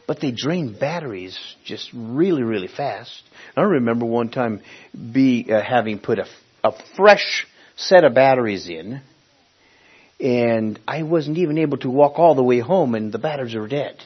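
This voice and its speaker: Husky-voiced male preacher from Honduras. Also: medium pitch, animated. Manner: conversational, halting, searching for words. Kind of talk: sermon with personal storytelling. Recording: dull, muffled lo-fi recording.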